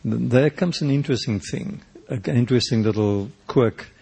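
An older man from South Africa whose first language is Afrikaans, with a South African accent, answering interview questions in a thoughtful, halting way with many restarts. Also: mid-range voice, gravelly, mellow, breathy edge. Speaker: poet and painter, interview guest.

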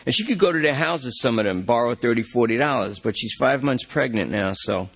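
A man's voice: medium pitch, expressive, brisk, a bit bright, husky, pitched above the performer's own voice. A man doing a whiny, rambling junkie. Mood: pleading. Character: jittery rambling male junkie under arrest.

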